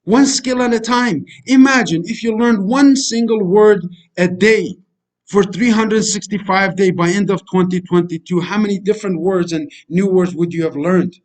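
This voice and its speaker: High-voiced Kurdish man coaching. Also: moderate pace. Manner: preachy, repetitive, addresses listeners as friends. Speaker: life coach. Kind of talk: motivational voice-over lecture over slides.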